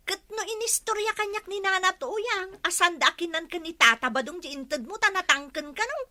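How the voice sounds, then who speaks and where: A woman with an Ilocano Filipino accent playing a gossipy, flattering villager who is eager to please, in a radio comedy drama. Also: very high voice, a little fast, heavily acted.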